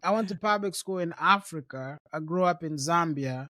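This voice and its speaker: A man's voice: on the high side, normal speed, pushed and bright, no room sound, clean studio sound. A man from Rwanda hosting a podcast, talking in a friendly, casual way and often repeating words as he thinks. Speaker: podcast host. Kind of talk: conversational interview.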